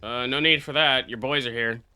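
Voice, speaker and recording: A man's voice: medium pitch, fairly even intonation, moderate pace, pushed and bright, clear, resonant tone. A young man streaming a game, reacting casually with slangy, profane trash talk.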